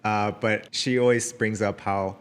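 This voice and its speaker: A man's voice: on the low side, fairly even intonation, measured pace. Friendly young male podcast host. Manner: friendly, laughs often, goes off on tangents. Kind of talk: casual interview chat.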